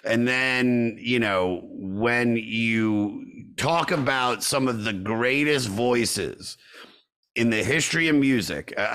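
A man's voice: medium pitch, a bit bright. A man in his forties hosting a podcast, talking in an eager, casual way with some swearing. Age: 40s. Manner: enthusiastic, swears casually, quick laughs. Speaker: podcast host.

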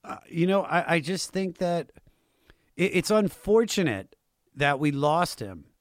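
A man's voice: on the high side, sing-song, measured pace, no echo, studio quality. An American man hosting a casual music talk show, enthusiastic and emphatic. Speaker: podcast host.

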